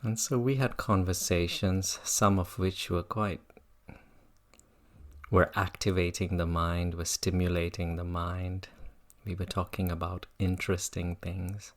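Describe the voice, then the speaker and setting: A meditation teacher gently guiding listeners through a practice in an unhurried way. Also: low voice, flat delivery. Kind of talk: guided meditation talk.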